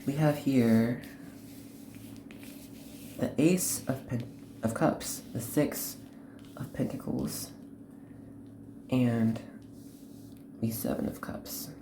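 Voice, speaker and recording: A woman hosting an unscripted tarot reading, speaking to the viewer in an earnest, instructive way, in short halting phrases. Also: very deep voice, roomy sound, noisy background. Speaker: host, tarot card reader.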